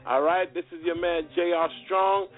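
A man's voice: high voice, steady tone, normal speed. Casting: man as slangy radio interview host, conversational, narrow phone-quality audio with faint music. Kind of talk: casual talk radio interview.

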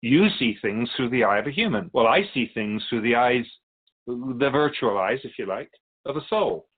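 A man's voice: medium pitch, expressive, narrow, tinny audio, lightly acted. A man playing a wise otherworldly keeper of souls in a radio drama, courteous, philosophical and wry as he tells stories. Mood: curious, challenging. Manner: measured, philosophical, gently challenging. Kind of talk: radio drama dialogue, storytelling.

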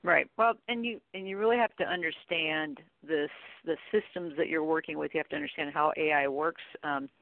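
A woman's voice: low-pitched, a little fast, no room sound. Woman on a phone-like line. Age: middle-aged. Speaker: guest expert, training company chief executive. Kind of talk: conversational interview answers.

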